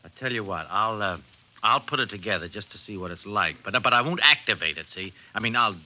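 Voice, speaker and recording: Flustered hobbyist husband, 1950s radio drama, stammering, bright edgy tone, swooping pitch. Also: male voice, expressive, brisk. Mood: excited, protesting. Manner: stammering, repeating himself. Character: excitable, flustered husband, a keen hobbyist kit-builder. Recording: old radio broadcast with faint noise.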